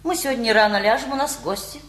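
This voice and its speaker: A woman's voice: a little high, fast, a bit bright, close to an everyday voice. An actress playing a strict, fussy woman who minds a teenager, firm and admonishing, in a Russian radio play. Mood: stern.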